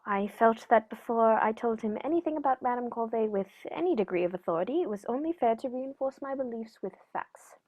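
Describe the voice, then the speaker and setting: Gentleman detective for radio play, female performer: fairly high voice, polite, self-assured, formal. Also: animated, phone-quality sound. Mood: composed. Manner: polite, measured, formal, knowing. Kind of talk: 1940s radio play acting.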